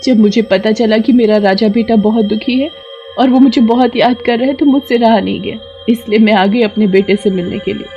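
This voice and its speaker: A woman's voice: on the high side, fairly even intonation, brisk, muffled recording, voice pushed up, close to an everyday voice. A woman voicing a fierce, tender ghost witch mother in a cartoon story, over music. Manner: menacing to foes, doting to her child.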